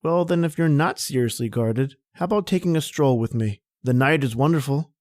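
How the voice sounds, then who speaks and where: A man's voice: mid-range voice, a little fast, darkish tone, no room sound, clean studio sound, close to an everyday voice. A man playing a charming, bold young suitor in an old-time radio drama, talking in a gallant, flowery way. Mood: charming, eager.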